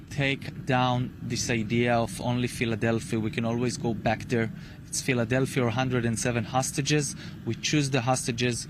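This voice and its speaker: Male interviewee, Israeli accent, flat intonation, emphatic repeated phrases, slight outdoor noise. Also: mid-range voice. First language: Hebrew. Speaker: interviewee, hostage's grandson. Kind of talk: earnest interview answers. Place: outdoors near a rural kibbutz. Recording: noisy outdoor field recording.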